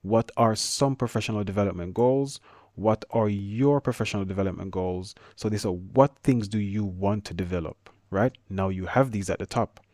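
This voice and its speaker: A man with a Jamaican accent teaching a screen-share lesson, talking quickly with stutters and restarts. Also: on the low side, darkish tone, no echo, studio quality.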